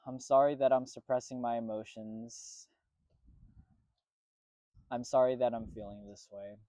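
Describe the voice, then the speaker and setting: A man reciting a reflective apology monologue, measured and earnest with repeated phrasing. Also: mid-range voice, steady tone, mellow, no room sound.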